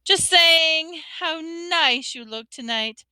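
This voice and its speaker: A woman's voice: fairly high pitch, sing-song, measured pace, pushed and bright, no echo, heavily acted. A woman voicing a clever, anxious, polite schoolgirl in a storybook, earnest and placating. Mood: falsely bright.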